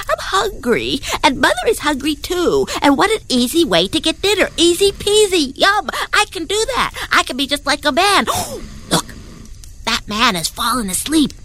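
A woman voicing an eager, naive young male rabbit in a storybook tale, gasping and excitable. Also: sits high, a little hiss or hum, exaggerated voice. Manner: excitable, gasping, boastful then sheepish.

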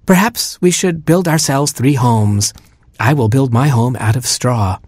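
A man's voice: mid-range voice, measured pace, a little mellow, no echo, lightly acted. A man voicing the oldest of three penguin siblings, speaking eagerly. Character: oldest penguin, earnest and practical.

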